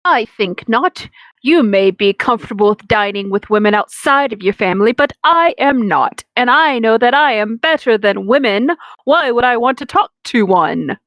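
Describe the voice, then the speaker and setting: A woman's voice: a little high, animated, moderate pace, fairly bright tone, dry recording. Woman reading as a pompous, snobbish schoolboy. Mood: haughty, dismissive. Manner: pompous, haughty. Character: pompous sexist schoolboy snob.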